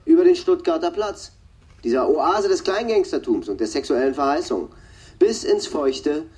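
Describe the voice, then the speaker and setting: Mellow, pompous tabloid TV reporter. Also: male voice, on the high side, very animated, moderate pace. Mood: sensational. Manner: florid, dramatic phrasing, pompous. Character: slimy male tabloid TV reporter, sensational and florid. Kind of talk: scripted TV news report in a radio drama.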